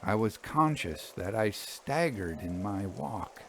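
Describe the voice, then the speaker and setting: A man reads an old-fashioned ghost story as a dramatic narrator. His pace is slow and his pitch moves widely, building suspense phrase by phrase. The recording carries a faint background noise. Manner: measured, suspenseful, expressive phrasing. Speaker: storyteller reading fiction aloud.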